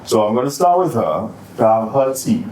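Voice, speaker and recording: Podcast host, man, teasing and probing, lively pitch, recorded from a distance in a roomy space. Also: normal speed. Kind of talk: casual chat. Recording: roomy, off-mic, faint noise.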